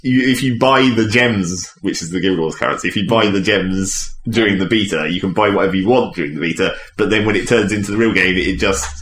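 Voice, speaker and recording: A man with a lowish voice chats casually, meandering and qualifying what he says. The sound is echoey, with faint noise behind him. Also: a little fast, hardly any pauses, fairly bright tone. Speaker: games podcast panelist. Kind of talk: casual chat. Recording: echoey room with background noise.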